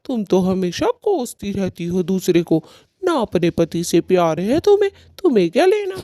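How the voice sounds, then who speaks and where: A man's voice: high-pitched, very animated, normal speed, mellow, dry recording, studio quality. A man playing an aggrieved male cartoon bird husband who speaks in a dramatic, reproachful way. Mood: scornful. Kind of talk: Hindi cartoon story dialogue.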